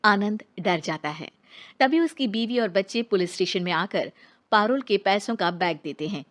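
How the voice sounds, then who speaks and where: A woman's voice: mid-range voice, lively intonation, moderate pace, fairly bright tone. A woman narrating a scripted dramatic story as its storyteller.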